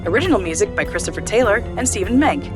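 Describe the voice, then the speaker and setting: An actress playing a formal robotic announcer, reading scripted audio drama credits to listeners. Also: female voice, mid-range voice, animated, racing, close to an everyday voice. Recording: music behind the voice.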